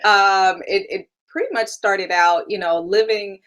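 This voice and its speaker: Interview guest: woman, 30s, from Germany, first language German, candid and easygoing, laughs a lot, everyday mid pitch. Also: steady tone, unhurried, a bit bright, highs cut off. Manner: candid, laughs often, many fillers. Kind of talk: casual interview.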